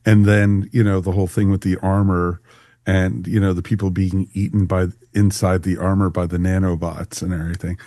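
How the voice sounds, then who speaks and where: Male podcast host, low and warm-toned, casual panel discussion delivery. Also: flat delivery, moderate pace, no echo. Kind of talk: casual panel review chat.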